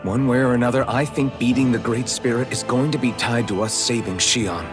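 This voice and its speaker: A man's voice: medium pitch, fairly even intonation, brisk, over music, close to an everyday voice. An actor playing a resolute young swordsman hero in a video game, earnest and plain-spoken. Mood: earnest, determined. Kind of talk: scripted video game voice acting.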